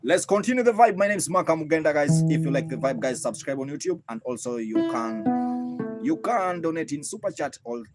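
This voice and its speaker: Man chanting over loud rattling music. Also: fairly high pitch, lively intonation, fairly quick. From Kenya. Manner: chanting, call-and-response singing with playful shouts. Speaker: traditional musician performing a livestream. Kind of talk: singing and chatting live with viewers. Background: loud live music with rattles.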